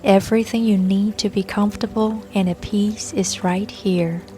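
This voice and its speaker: A woman leading a scripted guided meditation in a gentle, soothing, unhurried manner. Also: mid-range voice, steady tone, a little hiss or hum. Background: soft music and babbling brook sounds.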